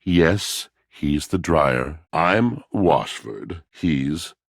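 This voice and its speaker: An extremely deep, husky video game voice for a brooding, lovelorn washing machine. He declaims in flowery, poetic phrases like a tortured soul, theatrical and melodramatic. The recording is close and dry. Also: lively intonation, extremely slow, dry recording, heavily acted. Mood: mournful, bewildered. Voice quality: very deep, gravelly.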